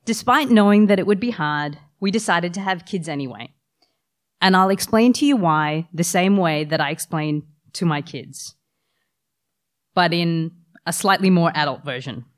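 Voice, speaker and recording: A woman's voice: lowish voice, normal speed. Wry woman with the flu. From Australia. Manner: wry, self-deprecating humor. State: has the flu. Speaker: storyteller. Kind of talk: live storytelling to an audience.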